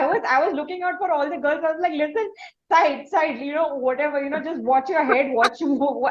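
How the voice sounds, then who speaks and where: Higher-voiced Indian woman interviewer, dull audio. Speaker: interviewer, podcast host. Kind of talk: casual conversational interview.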